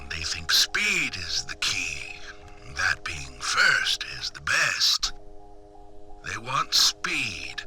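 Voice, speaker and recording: An actor playing an eccentric, knowing businessman in a video game, dramatic and drawn-out, with a rough, breathy voice that often drops to a whisper. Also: fairly low pitch, pushed and bright, exaggerated voice. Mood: grand, lecturing. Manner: dramatic, drawn-out, cryptic, lecturing. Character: eccentric, theatrical, knowing rich businessman informant. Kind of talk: theatrical video game voice acting.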